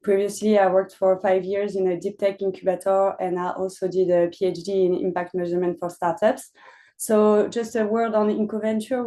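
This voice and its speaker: A woman with a French accent speaking on a webinar panel, pausing often with fillers as she explains her work. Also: mid-range voice, warm tone. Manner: hesitant, many pauses with "uh". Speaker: impact investment officer, panelist. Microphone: video call.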